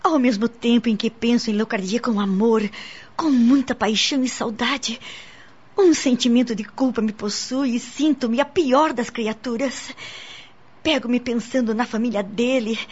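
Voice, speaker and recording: Actress doing sad passionate brazilian cabaret diva, radio drama, husky, muffled lo-fi recording. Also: female voice, fairly high pitch. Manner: sighing, theatrical lamenting delivery. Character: melancholy, passionate cabaret singer woman haunted by guilt.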